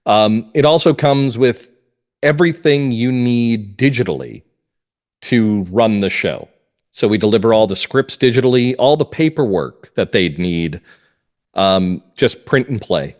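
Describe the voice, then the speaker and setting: A man's voice: mid-range voice, animated, unhurried. A middle-aged man talking at length in a conversational interview, explaining things as a guest. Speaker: guest, mystery playwright and script consultant.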